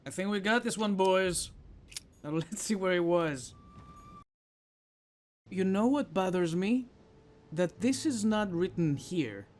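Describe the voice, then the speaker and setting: A man's voice: sits high, animated, slow, long pauses, slight background noise. A man hosting a gaming video, thinking aloud and grumbling in casual commentary as he plays.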